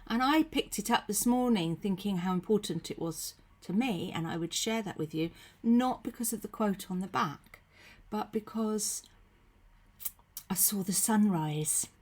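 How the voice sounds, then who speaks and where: A woman with a cold, her voice husky and croaky, giving a gentle, chatty devotional talk from her room into her computer. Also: mid-range voice, unhurried. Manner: gentle, chatty, with frequent ums and soft asides. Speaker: faith leader giving a short devotional. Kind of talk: casual devotional talk to camera. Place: her own room at home. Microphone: computer webcam.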